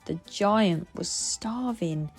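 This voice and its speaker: A woman narrating a children's storybook aloud in an expressive read-aloud style. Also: lowish voice, extremely slow, faint music behind.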